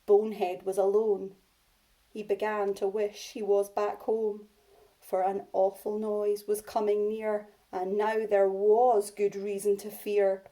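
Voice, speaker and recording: Slow, mellow woman reading to young children. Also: medium pitch, level tone, rough recording. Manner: simple, child-directed delivery. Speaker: primary school teacher. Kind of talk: expressive children's storybook reading and informal address to pupils.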